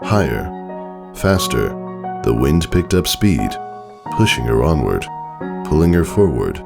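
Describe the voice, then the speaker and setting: A man reading a scripted story as narrator, with dramatic, measured phrasing and deliberate pauses. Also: a bass voice, very animated, gravelly. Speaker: fan fiction narrator. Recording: music under the voice. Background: background music.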